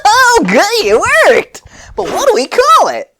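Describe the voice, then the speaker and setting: An excitable male anime schemer. The voice jumps very high and swoops around. He is gleeful and delighted with his own creations, exclaiming loudly. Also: unhurried, full character voice. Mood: excited, pondering. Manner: exclamatory, self-congratulating.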